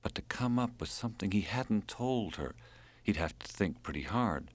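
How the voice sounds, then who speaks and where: A man's voice: lowish voice, lively intonation, normal speed, no room sound. A man with an American accent reading a literary audiobook as narrator.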